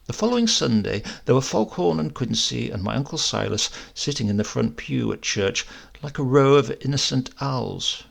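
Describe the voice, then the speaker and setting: A man narrating a comic story as an audiobook reader, expressive and animated. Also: medium pitch, moderate pace, creaky.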